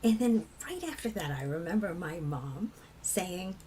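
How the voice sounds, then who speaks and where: A woman from England with a low, husky voice, a singer telling personal stories in a reflective conversation. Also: expressive, a little slow, mellow, a little hiss or hum. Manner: reflective, laughs often while telling stories. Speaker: kirtan singer.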